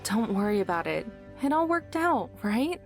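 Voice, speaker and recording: A woman's voice: mid-range voice, sing-song, moderate pace. An actress playing a reassuring, easygoing young woman in a visual novel. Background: soft game music.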